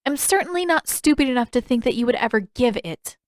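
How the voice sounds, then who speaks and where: A woman acts out a fiery teenage girl from a fantasy storybook. Her voice rises high and pushes forward with indignation. She sounds challenging and sharp, recorded close and dry. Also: lively intonation, fast, no echo, close to an everyday voice. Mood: defiant. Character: defiant, angry teenage girl waterbender.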